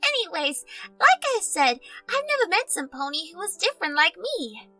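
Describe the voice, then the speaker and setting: A woman voicing a guarded, dry-witted, well-spoken young creature girl in an animated drama. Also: extremely high pitch, moderate pace, faint music behind, exaggerated voice. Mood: confident, sassy. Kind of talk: animated audio drama acting.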